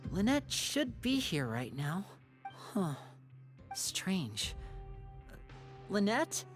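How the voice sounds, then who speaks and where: Young gentleman, protective older brother, measured, polite, watchful, video game, music in background. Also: high voice, wildly swooping pitch, full character voice. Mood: watchful, analytical.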